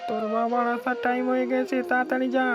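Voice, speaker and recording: A woman voicing a cruel, two-faced stepmother in a cartoon, bossy and sharp-tongued. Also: high-pitched, completely flat delivery, quick pace, natural voice. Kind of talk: Bengali cartoon story dialogue. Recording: loud music behind.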